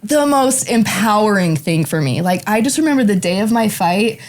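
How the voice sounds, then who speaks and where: A woman's voice: medium pitch, animated, moderate pace. A young adult woman, a boxing coach guest, telling a story animatedly and filling her talk with "like". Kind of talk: personal interview.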